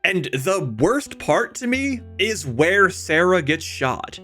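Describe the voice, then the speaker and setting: A man's voice: sits high, very animated, slow pace, pushed and bright, faint music behind. A man delivering a scripted video essay about games, with emphatic, opinionated, conversational phrasing and casual asides.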